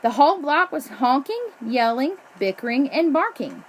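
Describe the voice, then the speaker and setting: High, animated woman reading a storybook. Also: normal speed, slight background noise. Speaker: storybook reader. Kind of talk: children's picture book read-aloud.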